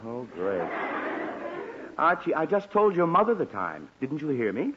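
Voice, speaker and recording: Casting: fussy, sputtering middle-aged sitcom father, highish voice, muffled vintage radio sound. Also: sing-song, a little slow. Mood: irritated. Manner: flustered, stammering, sputtering. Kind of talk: 1940s radio comedy acting. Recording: muffled, hissy vintage 1940s radio recording.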